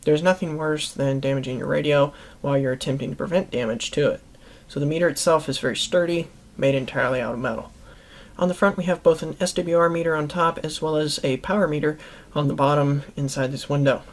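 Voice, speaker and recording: A man's voice: fairly high pitch, fairly even intonation, quick pace. A man hosting a how-to video, giving instructional narration to viewers. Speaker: host of a how-to product video series.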